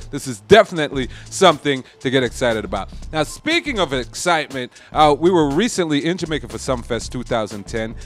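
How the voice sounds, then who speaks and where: A man's voice: a little high, a bit bright. A middle-aged man hosting a TV show, playful and sing-song, talking into a handheld mic indoors. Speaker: TV show host. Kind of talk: energetic TV host chat. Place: bookstore cafe indoors.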